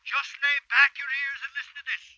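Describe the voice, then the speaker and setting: An actor playing an excitable, blustering Irish newspaper man, heard through a telephone filter in an old radio drama. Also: very high voice, fairly quick, dull audio, full character voice. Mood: emphatic. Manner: blustery, folksy, emphatic. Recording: noisy, thin vintage radio phone voice.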